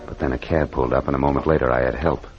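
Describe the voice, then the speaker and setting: An American actor playing a wry, hard-boiled private detective, narrating vintage radio noir with sardonic punch. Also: male voice, a bass voice, steady tone, rapid-fire. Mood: tense, dry. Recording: muffled vintage radio, music behind.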